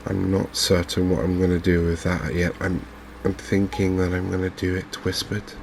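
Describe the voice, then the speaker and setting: A man with an English accent giving gentle, unhurried, softly spoken ASMR commentary on a video game. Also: deep voice, completely flat delivery, vocal fry, a little hiss or hum. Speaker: gaming video host. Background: fishing game ambience.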